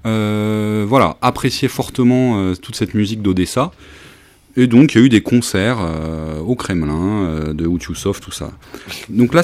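A man's voice: lowish voice, dry recording. A man hosting a radio talk, introducing songs in a casual, hesitant way with many fillers. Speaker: radio host presenting songs.

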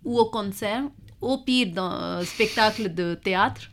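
A woman's voice: mid-range voice, measured pace. A woman from India with an Indian accent, speaking French as a learned language and hesitantly searching for words as she answers in an interview. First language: not French, learned it as an adult. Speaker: visual artist and art teacher being interviewed.

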